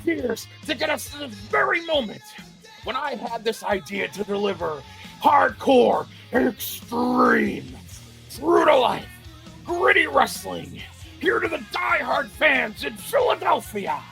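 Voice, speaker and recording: Man as a fervent, creaky wrestling promoter. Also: very high-pitched, sing-song, slow pace, fairly bright tone, heavily acted. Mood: grandiose rallying. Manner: grandiose speechmaking. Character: fervent, defiant male wrestling promoter rallying his crew. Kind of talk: audio-play acting. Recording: faint music behind the voice.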